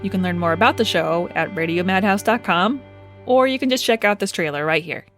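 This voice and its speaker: A woman's voice: on the low side, brisk, a bit bright, faint music behind. A woman hosting a podcast, giving a casual, upbeat promo with playful asides. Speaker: podcast creator and host.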